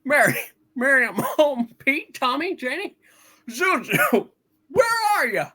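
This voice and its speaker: A woman voicing a frantic, bewildered, exasperated family man, husky, in a hammed-up amateur play reading. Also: sits high, very animated, measured pace, bright, forceful tone, pitched above the performer's own voice, full character voice. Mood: desperate.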